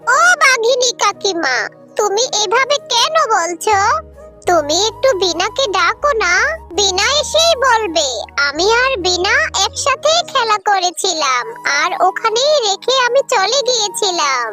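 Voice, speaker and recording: A woman's voice: very animated, unhurried, full character voice. A woman voicing a young girl fox cub in a cartoon, pleading and whiny, over background music. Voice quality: high squeaky child-like voice. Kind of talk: cartoon voice acting. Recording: music playing underneath.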